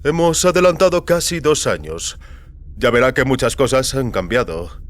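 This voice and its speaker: Man playing a brisk, genial older boss, lifted voice. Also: medium pitch, sing-song, pitched above the performer's own voice, lightly acted. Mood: brisk, informative. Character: older businessman, brisk, genial employer in science fiction. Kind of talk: dramatized audio fiction.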